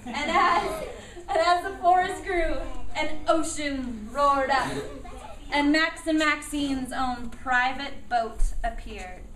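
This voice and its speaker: A woman's voice: sits high, fairly bright tone. A woman narrating a live puppet show for children, animatedly coaching and prompting them as she tells the story. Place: echoey room at a school puppet show. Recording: echoey, distant mic, noisy room.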